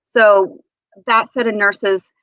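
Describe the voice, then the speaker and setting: A woman in her forties speaking as an interview guest, telling casual anecdotes in an animated way and acting out remembered dialogue. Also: mid-range voice, slow, smooth, no echo, rough recording. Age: 40s.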